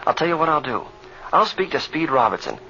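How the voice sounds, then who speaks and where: A man's voice: a little high, sing-song, brisk, gravelly, pushed and bright, exaggerated voice. An American actor playing an eager, encouraging young male pilot in an old radio serial, with earnest, folksy delivery. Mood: reassuring. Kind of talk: 1930s radio serial acting. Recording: muffled, noisy old radio recording.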